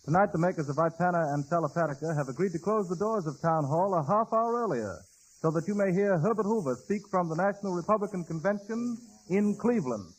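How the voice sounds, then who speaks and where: A man's voice: high voice, lively intonation, fast talker, slightly rough, rough recording. A man reading a scripted announcement in the style of 1930s radio. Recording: old 1930s radio broadcast.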